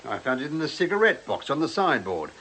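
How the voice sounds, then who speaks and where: A man's voice: fairly high pitch, very animated, fast, pushed and bright, slightly rough, exaggerated voice. A man playing a deferential, methodical British policeman in a radio detective drama, plain-spoken and matter-of-fact. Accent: British English.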